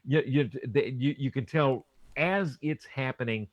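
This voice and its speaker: A man's voice: mid-range voice, measured pace, no room sound, dull audio. A man in casual podcast chat, enthusiastic, often repeating words and restarting his sentences.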